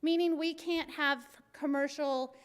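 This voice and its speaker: Woman, middle-aged, from Arizona, very high-pitched, energetic, joking, civic presentation, long room reverb. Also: a little slow. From Arizona, USA. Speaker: city recreation superintendent.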